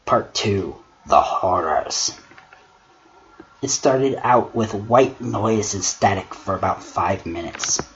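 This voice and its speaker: A man voicing a wry god of struggle who reads a horror story dramatically and mocks it in a theatrical, sarcastic, deliberate way. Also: fairly low pitch, soft background music. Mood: ominous storytelling. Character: male god of struggle, Discord's brother, wry theatrical critic. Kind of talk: dramatic creepypasta reading with mocking commentary. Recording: dull lo-fi mic with clear noise.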